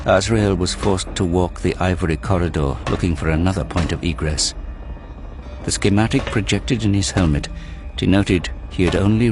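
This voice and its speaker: Low-voiced man narrating war fiction. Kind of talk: scripted dramatic audiobook narration. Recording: music behind the voice.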